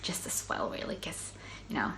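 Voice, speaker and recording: A woman's voice: normal speed, a little hiss or hum, poor audio. A middle-aged woman from Finland with a Finland-Swedish accent, Swedish native, hosting a casual knitting podcast in a chatty way, with many ums and small laughs. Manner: chatty, self-interrupting, soft laughs, frequent ums. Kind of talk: casual solo video podcast.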